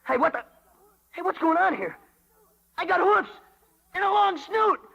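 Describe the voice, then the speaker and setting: Casting: brash sneering tough boy, creaky high voice, swooping, dull vintage radio sound. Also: expressive, a little slow, sharp tone, full character voice. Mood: baffled. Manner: slangy, brash. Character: cocky tough street boy, sneering, turning donkey. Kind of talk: 1930s radio acting. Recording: muffled 1930s radio broadcast.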